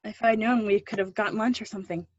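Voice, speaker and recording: A woman's voice: medium pitch, fairly even intonation, fast, no echo, muffled recording, natural voice. A woman acting an earnest, pushy drama teacher with faded dreams, encouraging and wistful in a play readthrough. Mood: concerned.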